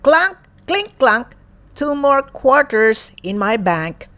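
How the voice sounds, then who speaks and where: A woman reading a picture book aloud for young children in a deliberate, playful way, in short separated phrases. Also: high voice, big pitch swings, a bit bright. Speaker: children's storybook reader.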